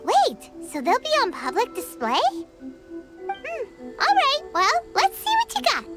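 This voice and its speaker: A tiny excitable fairy sidekick for a video game. The voice is extremely high, squeaky and childlike, swooping widely in pitch, with prominent music behind it. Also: female voice, measured pace, full character voice. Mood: curious. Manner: refers to self in third person, exclamatory. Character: tiny childlike floating fairy companion girl, bubbly and excitable. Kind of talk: animated game voice acting.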